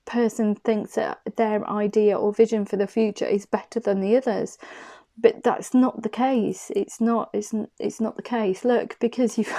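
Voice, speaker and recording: Mellow-voiced woman reading tarot. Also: medium pitch, steady tone, measured pace. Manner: chatty and effusive, thinking aloud, frequent laughs. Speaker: tarot card reader.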